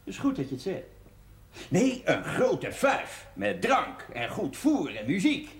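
An exuberant man, with a highish voice that swings up and down eagerly. It sounds like seventies television drama in an echoey room. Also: wildly swooping pitch, slow, creaky, exaggerated voice. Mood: enthusiastic. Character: exuberant man eager to throw a big party. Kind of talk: 1970s Dutch television drama acting. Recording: roomy, faintly noisy TV audio.

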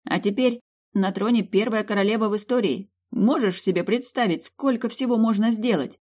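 A narrator voicing a bookish young man in a storybook, earnest, excitable and bashful. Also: mid-range voice, normal speed, pitched above the performer's own voice, lightly acted. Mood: excited, pleased.

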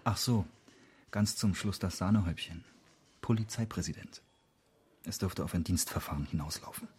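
An exasperated male police officer from a radio crime drama. The voice is deep and thoroughly husky. He grumbles and scolds with dry sarcasm. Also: moderate pace, a little mellow. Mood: sarcastic. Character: exasperated male police colleague, sardonic, grumbling but loyal.

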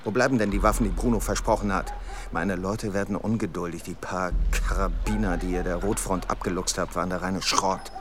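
An actor playing a gruff, impatient paramilitary commander in a radio play, curt and pompous. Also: male voice, low-pitched, fairly even intonation, fairly quick, background noise, close to an everyday voice. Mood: irritated, dismissive.

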